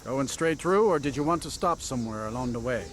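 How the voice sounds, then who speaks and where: A man's voice: mid-range voice, animated, brisk, background noise, close to an everyday voice. A man voicing an easygoing, plain-spoken carriage driver in a fantasy video game, with a folksy, matter-of-fact manner. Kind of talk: scripted video game dialogue. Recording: game audio under loud outdoor ambience.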